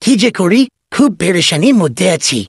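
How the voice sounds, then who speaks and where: A man's voice: sits high, very animated, moderate pace, vocal fry, husky, no room sound, clean studio sound, exaggerated voice. A man voicing an anxious, reluctant tortoise in a children's cartoon. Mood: worried. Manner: worried, hesitant.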